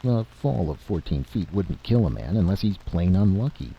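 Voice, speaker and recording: A man acts a blunt, skeptical adventurer in a story. He argues matter-of-factly in a low, animated voice over a dull, faintly noisy recording. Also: moderate pace, creaky, breathy edge, exaggerated voice. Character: skeptical male adventurer, blunt, argumentative and practical.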